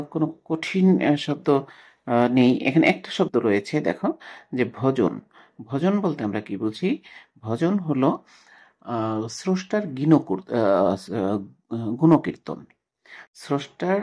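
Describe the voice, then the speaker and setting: A man speaking as a teacher, reading a text aloud and explaining it with frequent hesitations and pauses. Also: medium pitch, darkish tone, husky. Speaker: Bengali literature teacher for school pupils.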